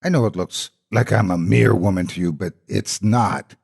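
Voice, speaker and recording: Man voicing a booming, zealous woman demon hunter. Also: normal speed, creaky, darkish tone, slightly rough, heavily acted. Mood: urgent warning. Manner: grave, preachy, declamatory.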